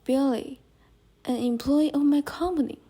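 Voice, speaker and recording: A woman's voice: high voice, a little slow, heavy background noise. A woman playing a concerned businesswoman in a radio play. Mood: serious. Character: concerned businesswoman seeking a detective's help.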